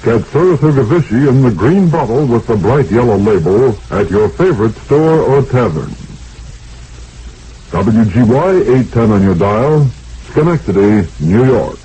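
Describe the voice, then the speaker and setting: A man's voice: medium pitch, lively intonation, unhurried. A man reading scripted advertising and station identification as a 1960s radio announcer. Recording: mellow, faintly noisy vintage radio recording.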